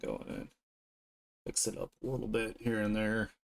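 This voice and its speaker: A man streaming his art, thinking aloud in an informal, unhurried way as he works. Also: lowish voice, level tone, gravelly. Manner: informal, unhurried musing to self while drawing. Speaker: streamer and artist. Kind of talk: casual art livestream commentary, thinking aloud.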